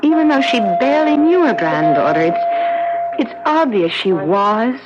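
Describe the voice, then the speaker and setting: A woman's voice: high-pitched, huge pitch swings, a little slow, heavy background noise, exaggerated voice. Earnest woman doctor, swooping intonation. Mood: gently persuasive. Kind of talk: 1970s radio drama acting. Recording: dull lo-fi radio recording, crowd murmur behind.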